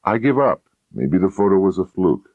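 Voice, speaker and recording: A man's voice: deep voice, sing-song, normal speed, warm tone, dry recording, highs cut off, voice pushed up, exaggerated voice. A man voicing a bitter, exhausted, hard-boiled police detective in an audiobook crime story, ranting and aggrieved in slangy speech. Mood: tired, curt. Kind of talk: dramatised audiobook crime dialogue.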